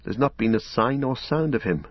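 A man voicing an earnest, gentle young hobbit in a soft, measured tone close to his own voice, in a dull, dry lo-fi recording. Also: medium pitch, animated, slightly rough, no echo. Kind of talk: audiobook character voice.

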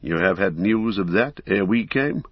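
A man reading an audiobook, voicing a wise old male wizard, calm and stern, in a measured, solemn manner. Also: deep voice, no echo, full character voice. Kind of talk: audiobook character voice. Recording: dry, dull lo-fi recording.

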